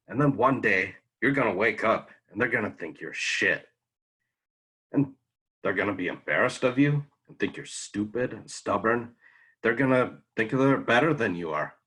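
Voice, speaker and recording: A man performing a dramatic monologue as a bitter, anguished grown son, intense, halting and pleading. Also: on the low side, fairly bright tone, breathy edge, low-quality recording.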